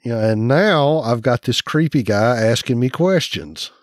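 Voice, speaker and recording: A man's voice: medium pitch, lively intonation, normal speed, dry recording, studio quality. A man co-hosting a casual podcast, easygoing with wry asides. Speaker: podcast co-host.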